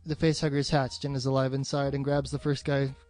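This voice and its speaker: A man's voice: medium pitch, completely flat delivery, quick pace, darkish tone, faint music behind. A man chatting casually as a podcast co-host, retelling a plot in colloquial, sweary language. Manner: profane, colloquial.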